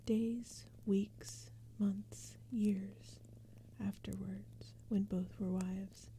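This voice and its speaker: Female ASMR poetry reader: breathy whisper, slow, gentle and even delivery, faint fire and forest ambience. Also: medium pitch. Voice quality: breathy whisper, mostly unvoiced. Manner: gentle, unhurried, even storytelling. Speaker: ASMR narrator reading poetry. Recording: steady background ambience noise. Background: crackling fire and forest sounds.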